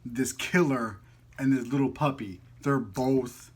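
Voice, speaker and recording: A man's voice: medium pitch, slow, breathy edge. A man chatting casually as a vlog host, playful and slangy, rambling as he goes. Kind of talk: casual chat to camera.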